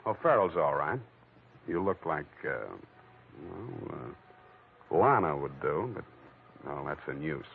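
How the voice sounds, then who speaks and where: An actor playing a cool, wisecracking hard-boiled detective, wry and clipped, in 1940s radio noir style. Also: male voice, a bass voice, expressive, unhurried, creaky, pauses often, a little hiss or hum, exaggerated voice. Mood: wry, flirtatious. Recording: tinny old radio broadcast.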